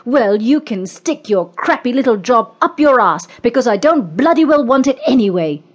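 A woman's voice: on the high side, sing-song, normal speed, a little mellow, voice pushed up. Woman putting on an icy, commanding businesswoman. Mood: furious. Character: cold domineering woman assessor, cruel and proud.

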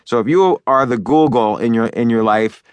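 A man's voice: medium pitch, moderate pace, clear, resonant tone, no echo. Chatty man reading his own book. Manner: loose and chatty, with fillers and restarts. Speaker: author and narrator. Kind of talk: conversational audiobook narration.

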